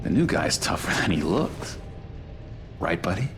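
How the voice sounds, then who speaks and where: A man's voice: low-pitched, very animated, a little slow. An actor playing an overly friendly, unhinged male asylum inmate in a video game, theatrical and chummy. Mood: smooth. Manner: theatrical, chummy, sing-song. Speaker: game character. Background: game score music.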